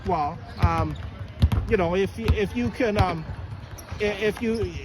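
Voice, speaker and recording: A man doing a casual interview in a halting, hesitant way, often repeating and restarting his phrases. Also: high voice. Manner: halting, hesitant, repetitive delivery. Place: indoor basketball gym. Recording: noisy gym, bouncing balls behind.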